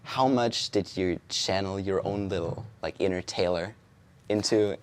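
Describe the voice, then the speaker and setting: Low-voiced male interviewer. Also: a little slow. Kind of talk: casual interview questions.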